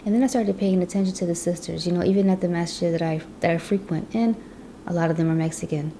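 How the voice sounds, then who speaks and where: A woman's voice: on the low side, quick pace, warm tone, slight background noise. A woman from the US Midwest telling her personal story in a chatty vlog, with lots of "you know". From Chicago, US Midwest. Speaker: vlogger.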